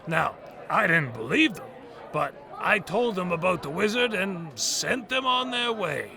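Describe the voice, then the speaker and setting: Man acting a high-voiced creaky folksy diner owner. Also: big pitch swings, a little slow, crowd noise, exaggerated voice. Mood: folksy, helpful. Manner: folksy, hesitant, chatty. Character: friendly old diner owner, folksy and nervous. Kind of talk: audio drama acting.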